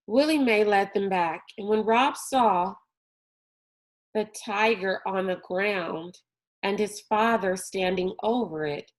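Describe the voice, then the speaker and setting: A woman narrating a children's novel aloud in a deliberate, expressive storytelling manner. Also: mid-range voice.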